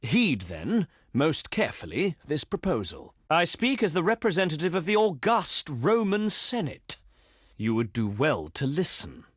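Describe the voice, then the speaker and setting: A man voicing a pompous, self-important Roman senate envoy in a video game, with oratorical, deliberate delivery. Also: mid-range voice, a bit bright, no room sound, clean studio sound. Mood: imperious. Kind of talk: scripted video game diplomacy voice acting.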